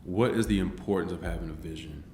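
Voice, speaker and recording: A man's voice: deep voice, fast, a little mellow, roomy sound, slight background noise. A man in his forties in a filmed interview, telling his life story in an earnest, rambling way with many restarts. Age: 40s.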